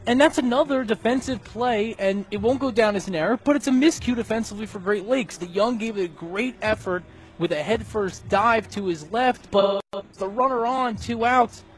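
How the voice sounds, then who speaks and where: A man's voice: high-pitched, normal speed. A man working as a radio color commentator, explaining baseball plays in a conversational way. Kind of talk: baseball analysis between plays.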